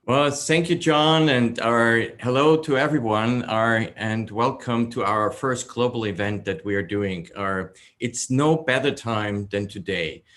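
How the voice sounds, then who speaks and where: A man's voice: mid-range voice, unhurried, hardly any pauses, fairly bright tone. A man from Austria with an Austrian accent, a native German speaker, giving a welcome speech at an online event. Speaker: entrepreneur, event co-host. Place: online group call.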